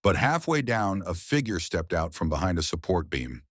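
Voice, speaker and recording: Low voiced guy reading a noir thriller, lively up and down, dry close sound. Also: no echo. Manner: dramatic, tense delivery with pauses. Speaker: audiobook narrator. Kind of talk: scripted thriller narration.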